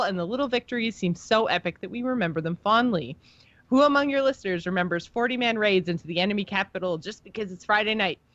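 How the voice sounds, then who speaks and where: A woman's voice: mid-range voice, fairly bright tone, no room sound, highs cut off. A woman co-hosting a gaming podcast, talking casually and with an informal fan's enthusiasm. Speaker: podcast co-host. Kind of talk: casual chat about video game lore, reading listener email.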